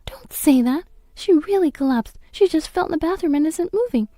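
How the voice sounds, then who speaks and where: A woman's voice: high-pitched, expressive, normal speed, dark tone, no room sound, clean studio sound, voice pushed up. A woman voicing an earnest young schoolgirl in a storybook narration, anxious, caring and pleading with urgency. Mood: panicked, pleading.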